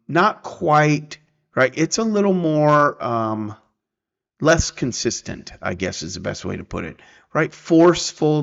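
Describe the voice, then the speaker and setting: Slow, gravelly man talking through a tutorial. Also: mid-range voice, fairly bright tone. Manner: halting, conversational, often checking with "right?" and "okay?". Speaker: presenter of a software tutorial. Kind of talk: casual screen-recording walkthrough, thinking aloud. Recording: dull, muffled lo-fi sound.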